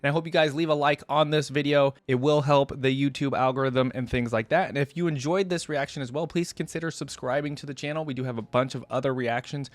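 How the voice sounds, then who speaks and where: A man's voice: mid-range voice, fairly even intonation, quick pace, hardly any pauses, dry recording. A man hosting a reaction channel, talking casually and informally to viewers in a rambling way, leaning on key words.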